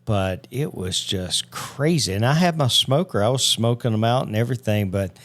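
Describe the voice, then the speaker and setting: A man's voice: medium pitch, lively intonation, moderate pace. A man co-hosting a podcast, chatting in a laid-back, folksy way and dropping "man" and "dude" as he talks. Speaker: podcast co-host. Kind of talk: casual chat.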